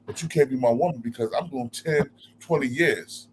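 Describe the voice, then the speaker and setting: A man in casual chat who talks quickly and repeats words as he pushes his point. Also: medium pitch, a little hiss or hum, low-quality recording.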